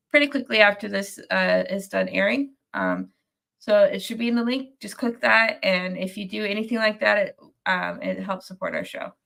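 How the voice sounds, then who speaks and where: A woman's voice: mid-range voice, vocal fry, fairly bright tone. A young woman hosting a sports fan post-game show while sick, sounding nasal and congested, rambling casually with many fillers. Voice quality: nasal from a cold. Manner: rambling, many ums and restarts, reads viewer comments. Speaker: podcast host. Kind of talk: casual live-stream chat.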